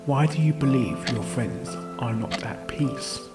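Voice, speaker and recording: A man playing a patient, probing pony therapist in an audio drama, speaking in a calm, measured and firm way. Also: medium pitch, lightly acted. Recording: roomy voice over background music.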